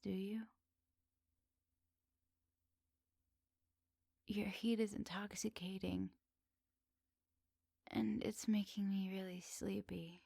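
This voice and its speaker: A woman plays a lonely, flirty witch of the woods in an ASMR roleplay. She speaks softly and unhurriedly, teasing and kind, at a medium pitch. The sound is close and dry, as if for one listener. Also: creaky, no echo. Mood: sleepy, tender. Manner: soft, teasing, cozy delivery to one listener. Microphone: close dry microphone.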